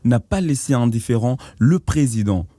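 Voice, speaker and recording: A man reading a sports news report in an even, formal tone. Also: mid-range voice, normal speed, dry recording.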